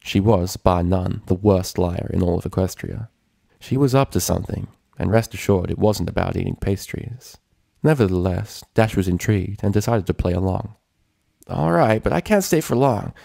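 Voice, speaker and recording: A man's voice: low-pitched, steady tone, moderate pace, vocal fry, dark tone, dry recording, studio quality. A man reading a story as scripted narration, in the manner of an audiobook reader. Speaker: fan fiction reader.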